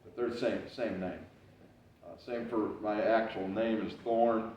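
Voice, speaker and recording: A man giving a casual library talk as a historical reenactor, with a loud voice and a loose, rambling way of telling, full of "uh"s and restarts. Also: lowish voice, steady tone, unhurried, a little hiss or hum. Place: library meeting room. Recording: very echoey, distant, dull room recording.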